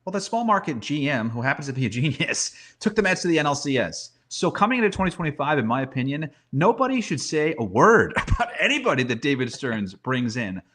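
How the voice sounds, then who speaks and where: A man's voice: on the high side, big pitch swings, a little fast, hardly any pauses, fairly bright tone. A man giving opinionated, emphatic sports talk in a conversational way, often posing rhetorical questions. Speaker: sports commentator and guest.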